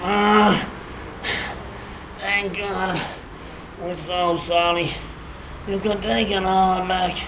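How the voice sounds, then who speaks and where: An actor plays a cowardly, evasive street chancer with a Dublin accent. The speech is slow, colloquial and wheedling, as in a dramatic sketch. It sounds echoey, with clear noise around it. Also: mid-range voice, fairly even intonation. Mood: pleading. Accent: Irish, Dublin. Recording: echoey, noisy recording with ambience.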